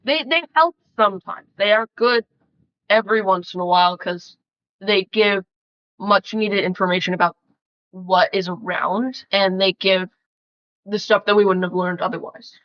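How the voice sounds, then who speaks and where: A woman's voice: medium pitch, moderate pace. Woman casually posing questions, slightly muffled. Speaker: podcast question reader. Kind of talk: casual question-and-answer chat.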